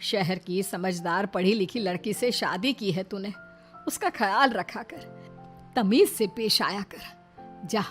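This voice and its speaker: Cartoon mother-in-law, patient and tender, played by a woman, mid-pitched over light music. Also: expressive, slow pace. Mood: gentle. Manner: soft and pleading. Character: meek, long-suffering older mother-in-law. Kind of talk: cartoon dubbing. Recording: faint background music.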